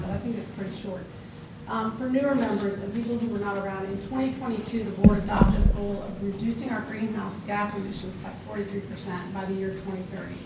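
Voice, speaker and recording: Gravelly-voiced woman giving a committee report. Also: medium pitch, very echoey, recorded from a distance, heavy background noise. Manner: loud, plainspoken. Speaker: board member, committee chair. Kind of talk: committee report at board meeting.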